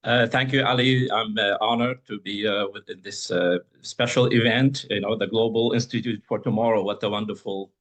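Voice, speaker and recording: Halting man from Iraq, panelist. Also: fairly low pitch, steady tone, fairly bright tone. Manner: halting, hesitant phrasing. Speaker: panelist, geopolitical strategist. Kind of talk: panel discussion.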